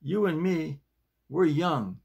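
An older man around seventy with a plain, halting delivery, talking and reading at his desk at home. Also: a little high, animated, vocal fry, a little mellow, husky. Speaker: YouTube host and playwright reading own work. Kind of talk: script reading and casual vlog talk. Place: small home office, indoors.

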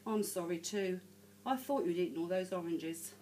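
A woman's voice: lowish voice, normal speed, warm tone, a little hiss or hum, close to an everyday voice. A woman doing a shy, fond male elephant. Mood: tender.

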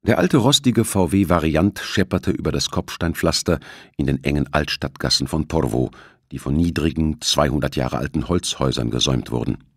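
A man's voice: very deep voice, moderate pace, fairly bright tone, breathy edge, no echo, clean studio sound. A man narrating a suspense novel as an audiobook, reading from a script. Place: close, dry studio recording.

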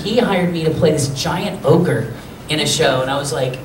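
Boyish-voiced man on a convention panel. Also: moderate pace. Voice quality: high, boyish voice. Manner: animated, jokey storytelling with bursts of laughter. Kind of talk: casual panel talk answering fan questions. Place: convention meeting room, seated at a table. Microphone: table mic on stand. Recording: roomy hall audio from a far camera, noisy.

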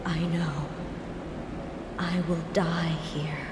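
Casting: doomed, possessed girl in a horror game; frail, drawn-out whispery delivery, echoey and noisy. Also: on the low side, level tone. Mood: resigned. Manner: halting, breathy, lapsing into whispers. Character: doomed, possessed young woman, frail and sorrowful. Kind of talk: dubbed horror game acting. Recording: echoey cave reverb with noise.

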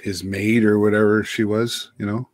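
A middle-aged man co-hosting a casual video call, talking with animated gestures and frequent restarts. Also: lowish voice, moderate pace, no echo. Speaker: co-host and teacher. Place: home room with framed pictures. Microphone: webcam mic on a video call.

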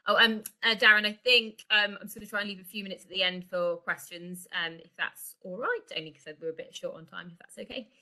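A woman's voice: mid-range voice, fairly quick, few pauses, sharp tone. A middle-aged woman giving an informal, explanatory product presentation over an online video call. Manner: explanatory, conversational. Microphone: video call microphone.

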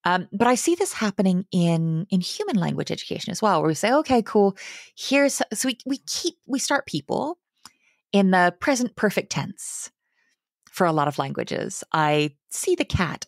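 A woman in her thirties, a guest speaking on a video call from a home room, talking with animated enthusiasm in quick bursts. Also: mid-range voice, dry recording, clean studio sound. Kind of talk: casual conversation.

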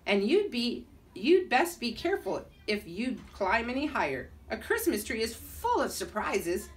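A woman voicing a gruff, jovial male Nutcracker ornament from a children's storybook. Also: on the high side, big pitch swings, a little slow. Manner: gruff, hearty. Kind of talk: storybook character read-aloud. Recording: distant mic in a room.

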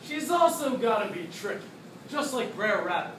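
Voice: medium pitch, very animated, normal speed. A performer telling a scripted story in readers theatre, with a projected, emphatic stage delivery. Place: classroom, indoors. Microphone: distant camera. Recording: echoey classroom from far, faint noise.

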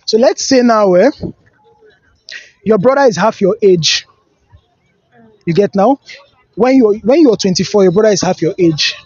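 A man's voice: sits high, animated, measured pace, long pauses, darkish tone, husky, dry recording, dull audio. A young man with a Nigerian accent playfully quizzing people in a comedic outdoor street interview, mixing in pidgin. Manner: teasing, playful questioning with pidgin asides. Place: outdoors on a lawn by trees. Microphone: handheld mic.

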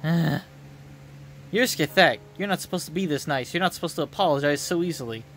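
A man's voice: on the high side, brisk, a bit bright. A bossy young warrior queen acted out by a man in a video game. The delivery is emphatic, theatrical and indignant, in a raised voice over faint music. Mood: flustered. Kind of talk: video game dialogue read aloud.

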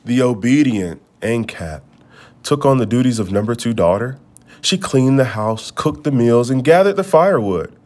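Expressive man reading a storybook aloud. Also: mid-range voice. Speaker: storybook reader. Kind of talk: read-aloud storybook narration.

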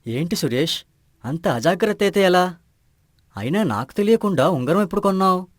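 A man voicing a level-headed village friend who asks patient questions in an animated moral story, reassuring and inquisitive. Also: sits high, a little fast, no echo, studio quality.

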